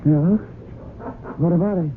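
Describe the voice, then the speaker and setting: A woman's voice: fairly low pitch, a little slow, natural voice. An actress playing a loyal, defiant young woman with an earnest, defensive manner in an old-time radio drama. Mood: cool. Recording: thin, phone-like vintage radio recording with hiss.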